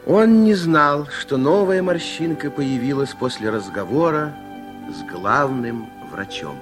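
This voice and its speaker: A man's voice: mid-range voice, lively intonation, slow pace, over music. A man narrating a children's fairy tale as a storyteller in a radio play. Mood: storytelling.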